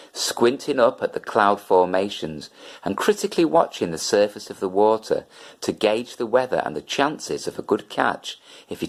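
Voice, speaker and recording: A man reading a children's story aloud as narrator. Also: on the low side. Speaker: audiobook reader.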